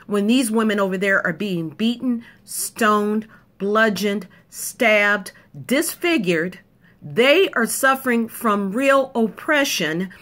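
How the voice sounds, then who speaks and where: A woman's voice: medium pitch, expressive, slow, fairly bright tone. A woman delivering an emphatic, punchy opinion monologue to camera, repeating points for effect. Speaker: video commentator.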